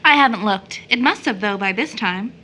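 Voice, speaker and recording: Earnest, bright-voiced young daughter. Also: female voice, mid-range voice, lively intonation, normal speed. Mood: teasing. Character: earnest, troubled young daughter, affectionate but disillusioned. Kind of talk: audio drama acting.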